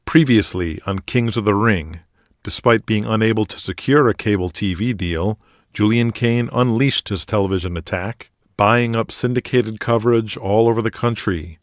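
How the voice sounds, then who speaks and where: A man's voice: fairly low pitch, normal speed, no room sound, clean studio sound. A man hosting and narrating a scripted audio drama, reading announcements and story in a presenter's delivery. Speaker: podcast host and narrator.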